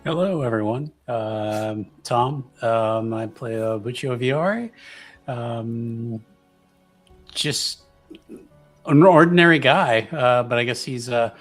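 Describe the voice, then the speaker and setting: A man's voice: mid-range voice, slow pace, faint music behind. A man, a player in a tabletop roleplaying show, speaking casually in a hesitant, understated way. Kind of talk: casual self-introduction.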